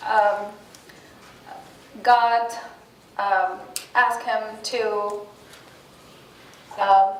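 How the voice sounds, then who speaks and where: A woman whose first languages are Arabic and French, talking slowly and haltingly to a class, full of pauses, restarts and fillers. Her voice sits a little high and comes from across an echoey room, with a faint hiss under it. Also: distant mic. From Tunisia. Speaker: guest speaker. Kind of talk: informal class presentation.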